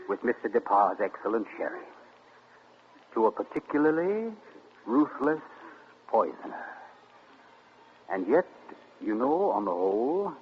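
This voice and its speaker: Suave male sleuth, smug and theatrical, lively melodic delivery, noisy vintage radio sound. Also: on the low side. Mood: sardonic. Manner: pompous, taunting, theatrical. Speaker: radio actor. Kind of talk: 1940s radio acting. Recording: muffled, noisy old radio recording.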